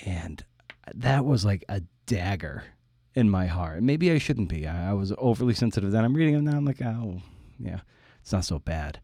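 A man's voice: on the low side, expressive, normal speed, dark tone, dry recording, studio quality. A man in his forties hosting a conversational interview podcast, rambling and self-deprecating in his storytelling. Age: 40s. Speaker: podcast host and writer. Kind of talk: conversational interview with personal anecdote.

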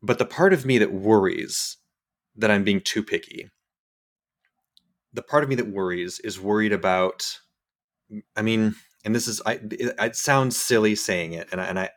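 Hesitant, low-voiced man in his forties. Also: moderate pace. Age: 40s. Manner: hesitant, repeats words when searching for thoughts. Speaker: podcast host. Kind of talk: reflective casual conversation.

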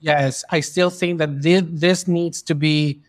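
A man's voice: a little high, fairly even intonation, takes it slowly. A man hosting a podcast interview, talking earnestly in long, flowing sentences with frequent restarts. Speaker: podcast host. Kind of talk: conversational interview. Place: in Spain.

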